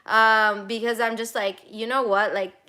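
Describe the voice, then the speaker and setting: Bright, chatty woman reading live chat. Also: fairly high pitch, level tone, unhurried. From Armenia. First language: Armenian. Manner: chatty, reads comments aloud, many fillers and restarts. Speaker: travel vlogger answering live chat. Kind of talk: casual live stream Q&A. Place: home in Toronto.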